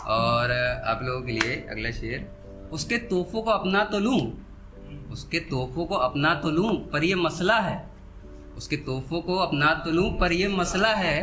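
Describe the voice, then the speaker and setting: Man, fairly high voice, poetry recital, deliberate pauses, repeated lines, clear instrumental music behind. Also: lively intonation. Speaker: poet performing on stage. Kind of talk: live Hindi-Urdu poetry recital with spoken asides. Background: soft instrumental background music.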